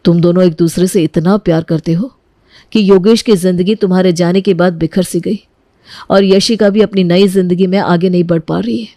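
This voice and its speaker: A lowish, soft-toned voice for a noble elder brother, played by a woman. He speaks solemnly and earnestly in cartoon moral-story dialogue, selfless and measured. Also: steady tone, dry recording, studio quality, natural voice. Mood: solemn, resolved.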